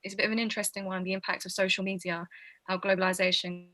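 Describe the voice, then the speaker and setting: Bright, even-toned young British woman. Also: mid-range voice, very fast. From born in the UK. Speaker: podcast guest. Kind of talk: casual chat. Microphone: remote video call.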